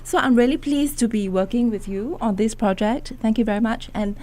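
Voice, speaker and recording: A woman photographer, a guest in a radio interview, whose voice sits a little high. She speaks reflectively, pausing often with small hesitations as she gathers her thoughts. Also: warm tone.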